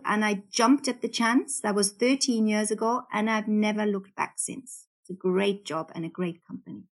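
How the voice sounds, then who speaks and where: Upbeat woman in her fifties with a light Geordie accent, guest on a podcast. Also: mid-range voice. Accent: Geordie, softened. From England. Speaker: guest cruise director. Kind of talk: podcast interview.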